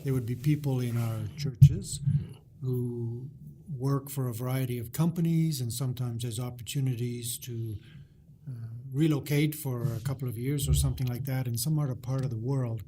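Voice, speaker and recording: Mellow-voiced man hosting a faith podcast. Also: medium pitch, fairly even intonation, slight background noise. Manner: unhurried, with frequent filler sounds and listening murmurs. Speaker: podcast host. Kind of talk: relaxed podcast interview.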